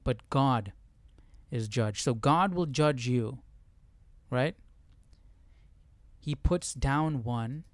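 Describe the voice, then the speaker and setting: A man's voice: medium pitch, drawn-out words, long pauses, dry recording. A man with a mid-range voice leads a Bible study in a conversational way. He explains things informally and often seeks agreement as he goes. The sound is very dry, with no room around it. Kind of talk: casual Bible study commentary with verse reading.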